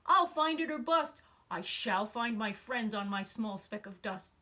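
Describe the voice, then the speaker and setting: A woman voicing a big, gentle, loyal elephant in a storybook, earnest and protective in manner. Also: a little high, lively intonation, a little slow, exaggerated voice. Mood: desperate, determined. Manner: earnest, pleading.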